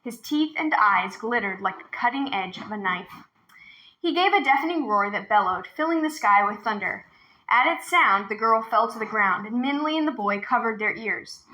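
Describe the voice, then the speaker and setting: Woman reading a kids novel out loud, expressive storyteller, highish really bright voice. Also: moderate pace, rough recording. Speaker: storybook narrator. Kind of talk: expressive read-aloud of a children's novel.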